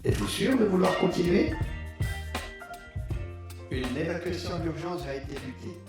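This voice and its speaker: A man's voice: a little high, expressive, normal speed. Very echoey male spaceship computer. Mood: impassive. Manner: formal, procedural. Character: polite, emotionless male spaceship evacuation computer. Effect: reverberant ship system voice. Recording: echoing, distant, music behind.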